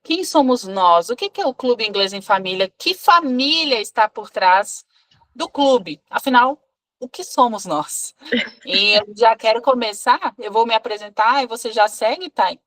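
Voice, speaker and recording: A woman's voice: a little high, expressive, measured pace, a bit bright. A woman in her thirties from Brazil, an English teacher, talking casually on a live video call with many fillers and restarts. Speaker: English teacher and club co-host.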